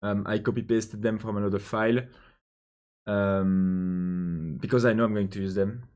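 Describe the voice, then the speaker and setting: A young man from Belgium with a French accent, thinking out loud while coding, hesitant with many pauses and restarts. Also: fairly low pitch, steady tone. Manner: hesitant, many ums and restarts, answers chat questions. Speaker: programmer live-streaming his coding.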